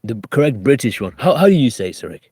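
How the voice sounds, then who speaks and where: A man's voice: medium pitch, very animated, fast, mellow, breathy edge, dry recording, studio quality. A British man chatting as a guest on a live stream, hesitant, repeating his words and playful. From United Kingdom. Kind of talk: casual live-stream chat.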